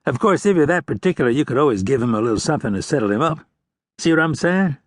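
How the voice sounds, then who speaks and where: A man's voice: medium pitch, animated, dry recording, clean studio sound, voice pushed up, lightly acted. A man voicing a chatty, sly male outlaw prisoner who wheedles and pleads in a raised voice. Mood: wheedling. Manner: wheedling, fast-talking, pleading.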